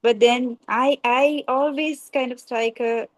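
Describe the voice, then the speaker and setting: A woman speaks on a literary panel at a book launch. Her voice sits fairly high, and the sound is close and dry. She talks hesitantly, with frequent fillers and restarts. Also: fairly even intonation, slow pace, no room sound. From Kerala, India. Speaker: editor and poet at a book launch. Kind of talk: panel discussion.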